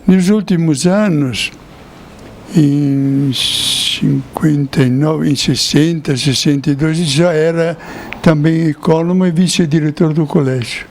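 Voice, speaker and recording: An older Italian-born priest, a man, telling his memories slowly in an interview, with small chuckles. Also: on the high side, a little hiss or hum. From Italy. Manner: slow storytelling with chuckles.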